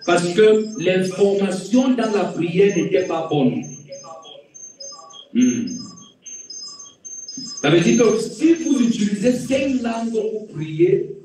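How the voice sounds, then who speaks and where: A high-pitched man delivers a slow, deliberate sermon, restating his points for emphasis and rising and falling in force. His voice carries from a distance with heavy room echo. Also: very animated, faint music behind. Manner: repeats key phrases for emphasis, swings between quiet and loud. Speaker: pastor and preacher. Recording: very echoey room, distant mic.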